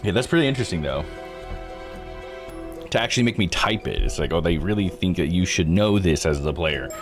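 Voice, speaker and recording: Man, low register, expressive swings, relaxed let's-play chatter, stumbles over words, background music. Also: brisk. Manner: thinks aloud, stumbles over unfamiliar words. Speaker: streamer playing and reading a visual novel. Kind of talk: casual live let's-play commentary mixed with read-aloud.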